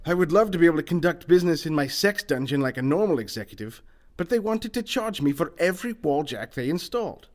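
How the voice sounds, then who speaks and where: A pompous, wealthy eccentric gentleman played by a man, pitched fairly high. He speaks formally and theatrically, like the lord of the house in a comic radio mystery. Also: animated, fast, natural voice. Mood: haughty, indignant. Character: pompous wealthy eccentric businessman and hunter, male. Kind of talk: comedic old-time radio mystery acting.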